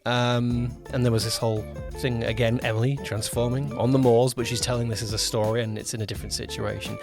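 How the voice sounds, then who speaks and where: A man's voice: mid-range voice, little pitch movement, a little fast, over music. A man co-hosting a podcast as its producer, chatting casually and explaining things with frequent backtracking. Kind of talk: casual chat, project update.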